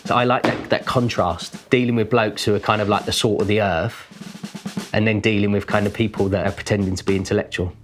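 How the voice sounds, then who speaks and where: Low-voiced man with a London accent. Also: steady tone, a little fast, faint music behind. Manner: thoughtful, informal, with many "kind of" fillers. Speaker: working-class painter. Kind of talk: reflective documentary interview.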